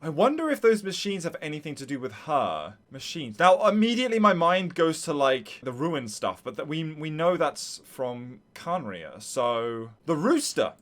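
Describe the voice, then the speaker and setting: A male streamer with a fairly high, very lively voice that swings up and down. He reacts casually to a game, thinking aloud and talking to his viewers in an excitable way. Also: normal speed, hardly any pauses.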